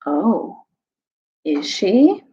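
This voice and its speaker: A woman voicing a grim, terse grey werewolf in a storybook reading, slow and menacing. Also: medium pitch, huge pitch swings, dark tone, husky, highs cut off, full character voice.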